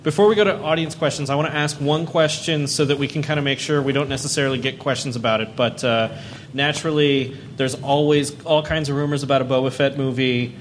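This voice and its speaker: A man's voice: medium pitch, steady tone, quick pace. Laid-back guy moderating a con panel, lots of uhs, noisy hall. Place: convention panel hall with a live audience.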